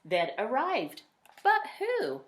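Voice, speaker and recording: A woman reading a children's picture book aloud, with dramatic emphasis and drawn-out words. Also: high voice, huge pitch swings. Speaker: read-aloud storyteller.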